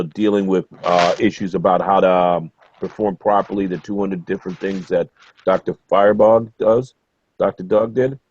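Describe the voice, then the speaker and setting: A man's voice: sits low, a little mellow, no echo. A man who has joined a webinar call, talking in a rambling, hesitant way with lots of fillers. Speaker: webinar participant. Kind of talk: casual call-in chat. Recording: dry, dull online call audio.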